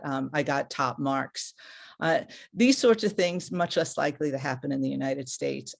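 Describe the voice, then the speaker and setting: A middle-aged speaker giving a candid, unscripted webinar talk as a panelist who evaluates international course credit, with frequent restarts and fillers, over a video call. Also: mid-range voice.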